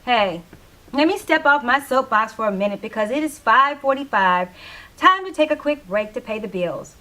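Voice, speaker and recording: A woman performing as a female small-town radio host from the seventies, in a stage play reading. Her voice sits fairly high, and she is emphatic and opinionated, preaching proudly at her listeners. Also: lively intonation, moderate pace, sharp tone. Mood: brisk, announcing.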